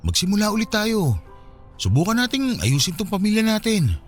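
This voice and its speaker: An actor with a Filipino accent playing a remorseful, earnest husband pleading in a radio drama. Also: male voice, high-pitched, huge pitch swings, moderate pace. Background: music.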